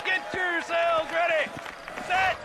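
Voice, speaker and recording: Western game: commanding race announcer; very high projected voice, stern listing, noisy crowd behind. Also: male voice, little pitch movement, slow pace. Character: loud, stern horse-race starter in the Old West. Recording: loud crowd and outdoor noise behind.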